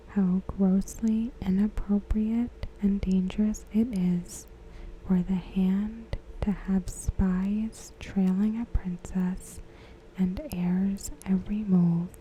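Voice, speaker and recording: A woman whispering softly and breathily in an ASMR style, giving commentary with gentle, deliberate phrasing. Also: medium pitch, steady tone, slight background noise, rough recording. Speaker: ASMR creator.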